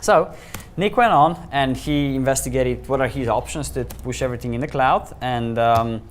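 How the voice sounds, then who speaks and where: A man's voice: medium pitch, normal speed, slight background noise. A man from Slovenia with a Slovenian accent, giving a conference talk as a solution architect and telling the story with chuckles and fillers. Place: meeting room with audience.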